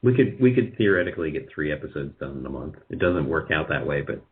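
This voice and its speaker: Male radio guest, middle-aged, deep register, hesitant and self-effacing, conversational, muffled low-fidelity sound. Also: big pitch swings, fast talker. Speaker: guest, radio drama producer. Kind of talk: conversational interview answers.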